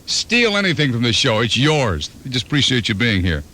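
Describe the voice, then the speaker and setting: A man's voice: medium pitch, sing-song, fairly quick, sharp tone. Playful male morning radio host. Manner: storytelling, playful, slips into voices. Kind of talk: fast joking radio banter. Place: radio studio. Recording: 1991 FM radio aircheck.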